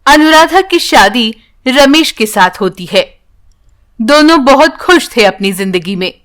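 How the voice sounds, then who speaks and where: A woman reads a scripted bedtime story. Her voice is high in pitch and she narrates at a slow, measured pace. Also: a bit bright.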